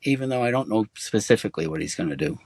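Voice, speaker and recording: A middle-aged man raised in Germany, answering interview questions in a halting, hesitant way with many fillers. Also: fairly low pitch, animated, gravelly, no room sound, studio quality. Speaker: interview guest. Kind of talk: casual conversation.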